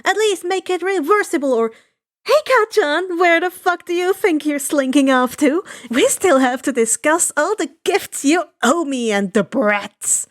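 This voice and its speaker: A woman voicing a brash, foul-mouthed, domineering woman who argues loudly in a story reading. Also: high-pitched, animated, a little slow, voice pushed up. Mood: combative. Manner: shouting, swearing, domineering.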